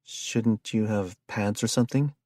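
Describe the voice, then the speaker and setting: A man voicing an exasperated, sharp-tongued young woman who scolds impatiently. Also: lowish voice, measured pace, dry recording, clean studio sound, pitched above the performer's own voice. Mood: wary.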